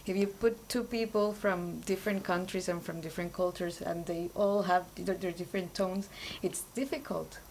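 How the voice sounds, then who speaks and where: A woman from Colombia, a podcast guest thinking out loud in casual group talk and often reaching for words. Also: lowish voice, steady tone, moderate pace, gravelly, few pauses, slight background noise, poor audio. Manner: thoughtful, searching for words with many "like" fillers. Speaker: podcast guest, new team member.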